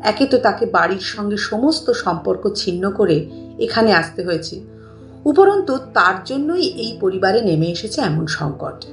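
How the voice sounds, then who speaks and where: Female audiobook storyteller, dramatic and expressive, slightly distant from the mic, gentle music behind. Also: mid-range voice, normal speed, reverberant. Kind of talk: dramatic scripted story narration.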